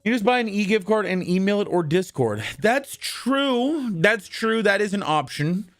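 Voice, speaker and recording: A male streamer with a worn-out, husky voice that sits a little high and swings widely in pitch. He rambles casually to his chat and bursts into loud greetings, with faint music playing underneath. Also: expressive, moderate pace. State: hoarse, worn-out voice. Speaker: live streamer.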